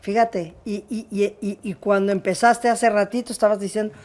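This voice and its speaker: A woman hosting an interview, friendly and prompting her guest with quick affirmations. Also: medium pitch, steady tone, a little mellow. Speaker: interviewer and channel host. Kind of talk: conversational interview.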